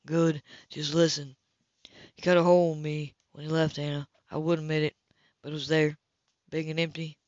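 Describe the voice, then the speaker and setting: Woman reader as blunt, smitten romance hero, audiobook, low register, insistent and earnest. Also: little pitch movement, normal speed, darkish tone, dry recording, lo-fi sound, close to an everyday voice. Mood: sincere, insistent.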